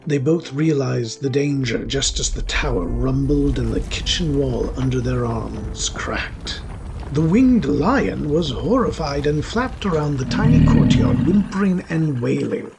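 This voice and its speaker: A man's voice: mid-range voice, lively intonation, a little slow, vocal fry, loud music behind the voice. A man reading scripted narration for a fantasy story, as its narrator.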